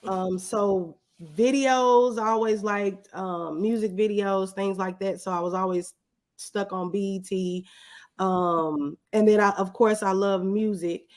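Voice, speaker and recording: A woman's voice: medium pitch, steady tone, measured pace, darkish tone. A woman giving casual, plain-spoken, conversational answers as a guest in an interview.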